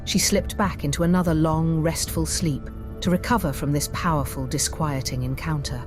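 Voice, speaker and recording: A woman storyteller reading scripted fantasy narration in a measured, theatrical way. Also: deep voice, steady tone. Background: music bed.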